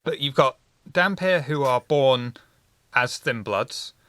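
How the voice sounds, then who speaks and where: Bright-voiced man explaining game lore. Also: medium pitch, takes it slowly, dry recording. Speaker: storyteller running the chronicle. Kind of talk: casual podcast chat.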